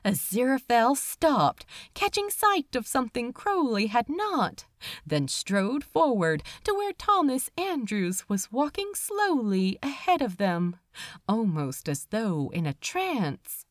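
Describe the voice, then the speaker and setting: A woman reading a story aloud as an audiobook narrator, with an expressive, dramatic storytelling manner. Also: on the high side, takes it slowly, no echo, clean studio sound. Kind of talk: scripted fiction narration.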